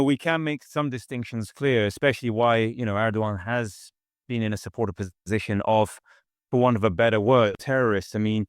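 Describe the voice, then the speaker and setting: A man's voice: mid-range voice, clean tone, no echo, clean studio sound. A man in his forties, born in Turkey and raised in the UK, giving conversational expert analysis as an academic guest. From born in Turkey, grew up in the UK. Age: 40s. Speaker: expert guest, associate professor of security studies.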